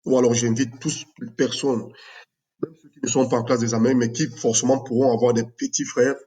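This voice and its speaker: A man from Cameroon, a math teacher presenting his own project in an unscripted video, speaking in a measured way with many fillers. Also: mid-range voice, level tone, mellow.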